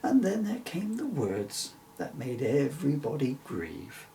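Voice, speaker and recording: A middle-aged man narrates a rhyming storybook with theatrical flair. His pitch swings widely and has a creaky grain. He sounds slightly distant from the microphone, with faint noise behind. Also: a little slow. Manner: animated, theatrical. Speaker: children's author reading their own story-poem.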